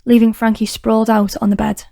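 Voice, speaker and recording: A woman's voice: fairly high pitch, little pitch movement, a little fast, gravelly, dark tone, no echo, studio quality. A woman reading scripted narration for an audiobook drama.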